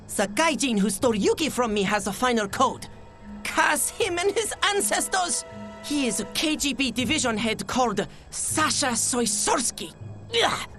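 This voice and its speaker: Game casting: jilted, scheming doctor, fairly high voice, very animated, melodramatic and stilted. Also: female voice, a little slow, soft background music. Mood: bitter, cursing. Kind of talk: video game cutscene acting.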